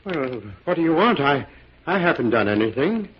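A dull vintage radio recording of a nervous farmer with a highish voice. He is defensive and evasive, stammering in old radio drama fashion. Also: sing-song, slightly rough. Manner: stammering, halting. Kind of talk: 1940s radio drama acting.

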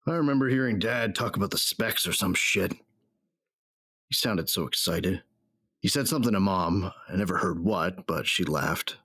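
A man acting a grieving, bitter bounty hunter who narrates his memories in a reflective, rough-spoken way for an audio drama. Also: low-pitched, expressive, unhurried, pushed and bright, dry recording, exaggerated voice. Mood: wistful.

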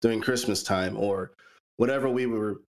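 A man in his forties co-hosting a podcast, telling personal stories in a relaxed, rambling way with frequent repeated words. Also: on the low side, normal speed. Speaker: podcast co-host. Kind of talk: casual chat, personal storytelling.

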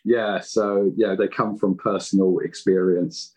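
A man's voice: low-pitched, flat delivery, a little slow, darkish tone. An English man in a casual interview, talking in a jokey, self-deprecating way as a podcast creator. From Britain. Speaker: podcast creator and writer, guest.

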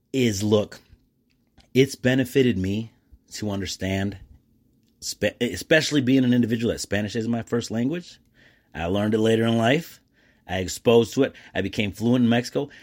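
A middle-aged man with an American accent and a fairly low voice talks casually on a solo podcast. He is chummy and animated, slipping into quoted exchanges as he tells his stories. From United States. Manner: animated, self-describedly chummy and jokey. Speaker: podcast host.

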